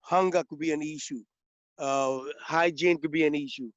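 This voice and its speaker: Halting man on a lo-fi video call. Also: fairly high pitch, steady tone, dry recording. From Liberia. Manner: halting, many restarts and repeated words. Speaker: webinar participant. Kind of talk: spontaneous webinar comment. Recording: dull, lo-fi video call audio.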